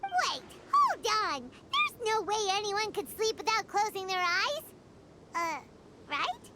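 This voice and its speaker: A woman voicing a tiny, excitable, childlike female game companion who talks in bubbly, wide-eyed exclamations. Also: very high voice, slow, noisy background, heavily acted. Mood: incredulous.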